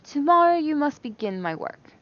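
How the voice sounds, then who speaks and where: Middle-aged fairy-tale queen, commanding and regal, lifted pitch, dull sound woman performing. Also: very animated, moderate pace, fairly bright tone.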